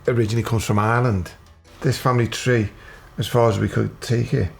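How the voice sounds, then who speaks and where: A man's voice: fairly low pitch, creaky, husky. A man, reflectively telling his family history in a documentary interview. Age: 50s.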